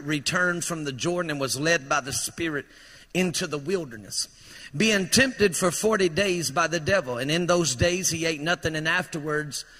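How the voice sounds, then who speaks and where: Fervent preacher with a bright, high voice. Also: male voice. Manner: fervent preaching, rousing calls to the congregation. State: voice strained from shouting. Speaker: pastor. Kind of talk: sermon. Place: church sanctuary. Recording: echoey church hall reverb.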